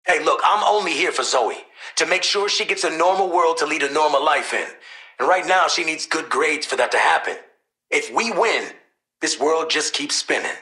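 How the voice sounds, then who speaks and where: A man's voice: medium pitch, very animated, normal speed, pushed and bright, roomy sound, rough recording. An actor playing a cynical, cocky assassin and protective father in a video game, with an American accent and a wry, slangy, streetwise way of talking. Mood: concerned, urgent. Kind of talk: video game character voice lines.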